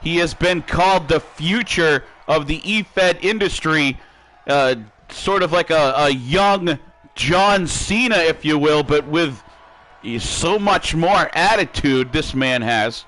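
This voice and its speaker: A man calling wrestling play-by-play, excitable and raising his voice over the action. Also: fairly high pitch, takes it slowly. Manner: excitable, calls action with shouting bursts. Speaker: play-by-play commentator. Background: music.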